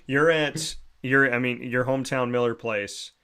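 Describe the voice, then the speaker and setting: A man's voice: mid-range voice, fairly even intonation, a little slow, bright, forceful tone, clean tone. A man hosting an interview, asking questions in a friendly, conversational way. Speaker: host.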